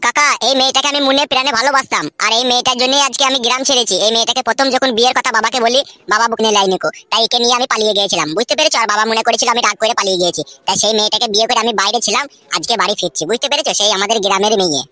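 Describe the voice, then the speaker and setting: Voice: medium pitch, steady tone, racing, few pauses, sharp tone, no echo, close to an everyday voice. A performer voicing a cheeky, impatient young village man in a Bengali comedy cartoon, with brash, exasperated back-talk. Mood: earnest. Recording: music behind the voice.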